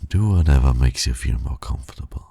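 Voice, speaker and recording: Man acting a very deep, gravelly seductive villain. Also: lively intonation, dark tone, dry recording, exaggerated voice. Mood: flirtatious, pleased. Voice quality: gravelly, breathy. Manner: flirtatious, slow, purring, often dropping to a whisper. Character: suave, teasing male supervillain courting his hero nemesis. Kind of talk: ASMR romance roleplay.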